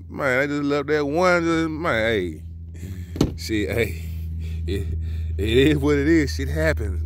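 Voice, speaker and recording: A man's voice: lowish voice, slight background noise. A man reviewing sneakers in a casual vlog, talking loose and profane with lots of slang, recorded outdoors on a handheld phone. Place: outdoors beside a car in a yard.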